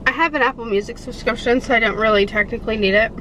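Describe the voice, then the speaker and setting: A woman's voice: a little high, fairly even intonation, fast talker, a bit bright, slight background noise, rough recording. A young woman vlogging casually to her camera, rambling with lots of fillers and sounding congested from a sinus infection. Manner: rambling, many fillers like "like" and "I don't know". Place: in a car, then at home.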